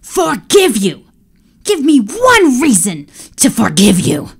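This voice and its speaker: A woman's voice: a little high, huge pitch swings, slow, gravelly, mellow, husky, pitched above the performer's own voice, heavily acted. A woman voicing a cold, menacing male emperor who growls and snaps out orders. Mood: furious. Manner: growling, snapping commands.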